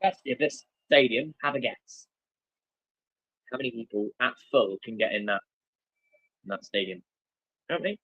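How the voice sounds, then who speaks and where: A man's voice: mid-range voice, expressive, normal speed, long pauses, husky, lo-fi sound. A man hosting a stream, chatting casually and loosely commentating on a football match, with plenty of fillers. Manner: laid-back, rambling, with many fillers.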